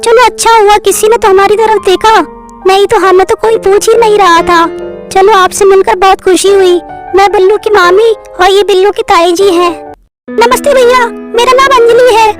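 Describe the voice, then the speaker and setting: A woman's voice: very high voice, fairly even intonation, normal speed, over music. A woman voicing a chatty young female relative in a cartoon family story, with a familiar manner. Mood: eager.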